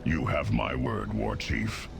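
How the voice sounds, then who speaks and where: A man playing a huge, stoic, honourable hunter hero in a fantasy game, speaking slowly and tersely in a gravelly voice. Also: very deep voice, music in the background, heavily acted. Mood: stoic, solemn. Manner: slow, terse, solemn. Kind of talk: video game character dialogue.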